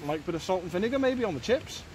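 Wind noise runs clearly through this phone recording of a British man out walking. He talks casually to the camera in a slightly higher than usual male voice. It is an informal outdoor hiking vlog, held at arm's length. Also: lively intonation, racing, a little mellow. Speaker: hiking vlogger. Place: outdoors on woodland and open windy fells. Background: wind buffeting the mic.

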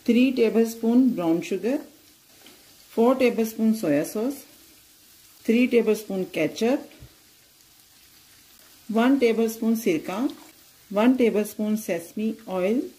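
Woman doing a recipe voiceover, steady instructions, warm mellow voice. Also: on the high side, slow, long pauses, rough recording. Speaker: cooking channel host. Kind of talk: step-by-step recipe voice-over.

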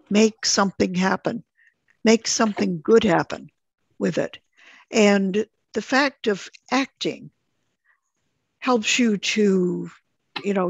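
A woman's voice: mid-range voice, creaky, slightly rough, dry recording. A woman teaching an online group lesson, explaining things simply, step by step, over dull call audio.

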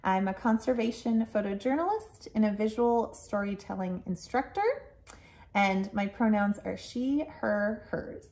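A woman's voice: mid-range voice, lively intonation, a little slow, darkish tone. A woman in her thirties from the United States, with an American accent, introducing herself to camera as a guest. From Colorado, United States. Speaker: guest, photojournalist. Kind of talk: friendly self-introduction to camera. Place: indoor living space at home.

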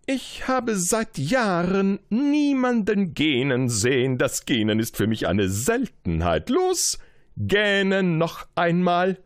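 A man playing a pompous old king in a storybook reading, handing out loud, drawn-out decrees. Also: sits high, very animated, dry recording, studio quality, pitched above the performer's own voice, heavily acted. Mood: commanding.

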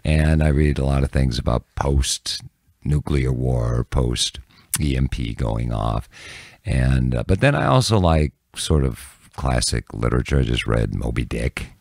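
An older man with an extremely low, gravelly voice chats casually as a podcast guest. His delivery is animated and swings widely in pitch. He sits close to the mic, with a dry sound. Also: unhurried, no echo. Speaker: podcast guest, TV news broadcaster. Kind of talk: casual chat, storytelling. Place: small indoor room with bookshelves. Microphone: close podcast microphone on arm.

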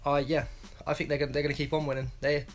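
A man's voice: a little high, little pitch movement, quick pace, soft background music. A young man in his twenties giving a blunt, rambling football opinion vlog from a small room at home on an earphone mic. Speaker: football pundit vlogger. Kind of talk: casual solo football analysis vlog. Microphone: wired earphone mic.

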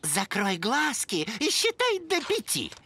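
A woman's voice: a little high, huge pitch swings, slow pace, gravelly, noisy background, exaggerated voice. A woman voicing a scheming, falsely kind young male fox villain in a children's cartoon, theatrical and gloating. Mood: falsely sweet.

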